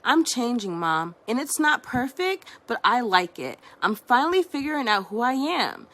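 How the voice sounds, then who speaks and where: Woman reader doing a stubborn teen girl for a storybook; loud, high, bouncy. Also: big pitch swings, moderate pace. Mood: defiant. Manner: shouting, high and forceful. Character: defiant teenage girl turned red panda. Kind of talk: storybook read-aloud.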